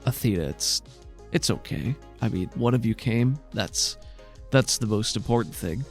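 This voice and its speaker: A man playing a gentle, loving father in a tabletop game, speaking in halting, reassuring tones. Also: fairly low pitch, animated, soft background music. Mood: gently reassuring. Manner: halting, soft reassurance with frequent stammers.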